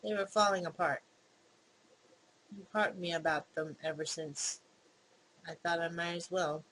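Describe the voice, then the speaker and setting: A gamer voicing a defensive, hesitant young man in a visual novel, with stammering, halting protests. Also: mid-range voice, steady tone, fairly bright tone, close to an everyday voice, low-quality recording. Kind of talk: visual novel character reading. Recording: clear background noise.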